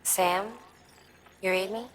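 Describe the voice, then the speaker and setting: A woman voicing an eerily serene scientist in a video game cinematic, speaking in a calm, measured, cryptic way over music. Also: mid-range voice, slight background noise, close to an everyday voice.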